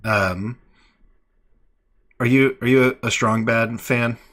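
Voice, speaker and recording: A man in his thirties hosting a live online call-in show, relaxed and chatty, with many fillers and restarts. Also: mid-range voice, slow pace. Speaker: host. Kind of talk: casual live call-in chat.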